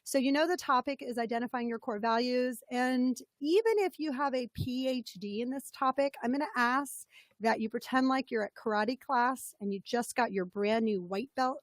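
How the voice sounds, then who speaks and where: Woman, scratchy voice, fairly high-pitched, upbeat webinar coach, dry close sound. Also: normal speed, no room sound. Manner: upbeat, chatty, thanks participants by name. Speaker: business coach presenting a webinar. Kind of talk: interactive webinar talk.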